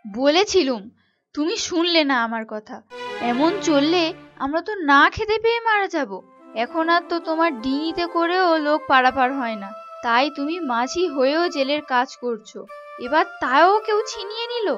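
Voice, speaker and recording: A woman's voice: high-pitched, expressive, moderate pace. A woman voicing a bossy, scheming village wife in a cartoon folk tale, scolding and commanding. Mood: scolding, worried. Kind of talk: animated folk tale voice acting. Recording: dull lo-fi sound with music behind.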